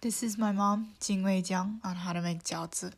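A teenage girl from China and South Korea co-hosting a student podcast, speaking in a relaxed, reflective way. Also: medium pitch, normal speed, vocal fry. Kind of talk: casual podcast narration.

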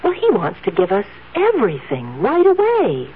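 A doting, lively rich wife. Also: female voice, medium pitch, moderate pace, husky, heavily acted. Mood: sweetly firm. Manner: coaxing, gently patronizing. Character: doting, prim, controlling rich young wife. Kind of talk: 1970s radio drama acting. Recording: tinny old radio recording, faint hiss.

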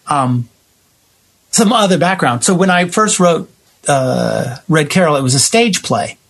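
A man's voice: on the high side, lively intonation, measured pace, creaky, a bit bright. A man talking in an impassioned, conversational interview style, explaining his ideas emphatically as a guest playwright and director. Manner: emphatic, explanatory, acting out imagined remarks.

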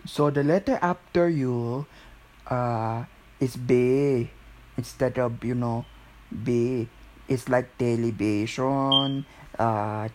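A man's voice: mid-range voice, darkish tone, slight background noise, poor audio. A man chatting casually and jokingly, rambling along in a put-on Filipino accent. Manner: jokey, often breaking into giggles.

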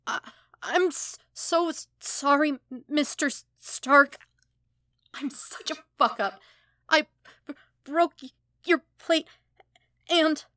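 A woman voicing an anxious, ashamed teenage boy in a story, stammering and halting as he speaks. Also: high-pitched, sharp tone, dry recording, studio quality, pitched above the performer's own voice, exaggerated voice. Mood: sobbing, ashamed.

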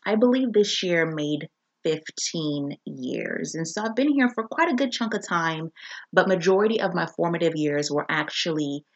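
A woman's voice: fairly low pitch, animated, moderate pace, highs cut off. A woman from Zambia hosting her own podcast, talking in a reflective, earnest way with frequent restarts. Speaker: podcast host. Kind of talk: casual solo podcast introduction.

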